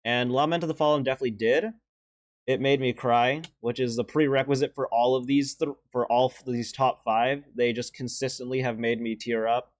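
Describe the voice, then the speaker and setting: A young man with a deeper voice giving casual, earnest and emotional commentary as he ranks favorite animated shorts, with sighs and restarts. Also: fairly quick, no echo. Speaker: video creator ranking animated shorts.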